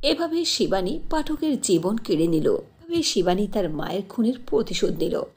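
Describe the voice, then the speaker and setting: A woman reads a fairy tale as its narrator. Her voice is slightly rough and husky, and her intonation rises and falls widely. The delivery is scripted storytelling. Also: medium pitch, big pitch swings, normal speed, a little mellow.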